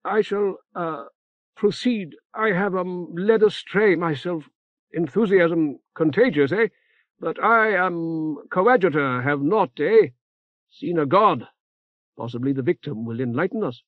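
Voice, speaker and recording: Narrator doing a dithery old priest guy, higher voice, lots of eh and uh, dull dry audio. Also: lively intonation, dry recording. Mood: flustered. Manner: halting, full of "eh" and "uh", clipped phrases. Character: fussy elderly male cleric, rambling and hesitant.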